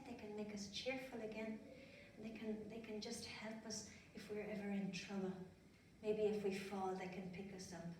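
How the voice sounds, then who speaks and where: An Irish-accented woman telling stories to young children in simple, repetitive phrases with chatty asides. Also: mid-range voice. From Ireland. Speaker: children's storyteller. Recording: very echoey room, distant mic, faint noise.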